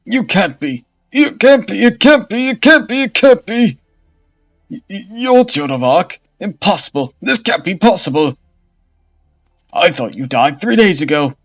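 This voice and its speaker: A man's voice: very animated, unhurried, a bit bright, exaggerated voice. A man voicing a cowardly old male bishop who shrieks, stammers and begs in terror. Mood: panicked. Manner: shrill, stammering, begging. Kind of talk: hobby voice acting of a dark fantasy scene. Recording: dull lo-fi audio with faint music.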